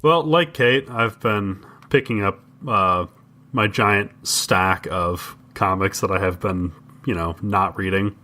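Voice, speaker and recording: A man co-hosting a podcast, casually discussing comics in halting, measured phrases. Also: fairly low pitch, pushed and bright. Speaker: podcast co-host.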